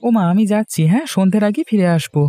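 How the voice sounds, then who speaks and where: A man's voice: high voice, animated, rapid-fire, dark tone. An actor playing a dutiful but independent-minded young son just out of his studies in a cartoon story. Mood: easygoing. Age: young adult. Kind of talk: Bengali cartoon story dialogue.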